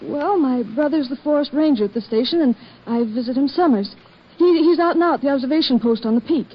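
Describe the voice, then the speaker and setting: Lonely sorry young american woman, stammery, high voice, old radio play, muffled. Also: fairly quick, husky. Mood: sheepish, pleading. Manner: hesitant, stammering. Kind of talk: old-time radio drama acting. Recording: dull lo-fi old radio broadcast.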